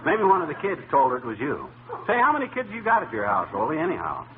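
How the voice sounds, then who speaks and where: Radio sitcom lead: blustery small-town grumbler, fairly high voice, lively swings, indignant wisecracker. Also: male voice, fast talker. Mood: wisecracking. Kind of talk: 1940s radio comedy acting. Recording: tinny, hissy old radio broadcast.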